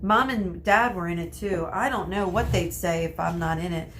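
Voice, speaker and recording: A woman's voice: on the low side, moderate pace, a bit bright, slight background noise, lightly acted. A woman voicing a gloomy, plain-spoken young wizard boy who grumbles his way through a storybook.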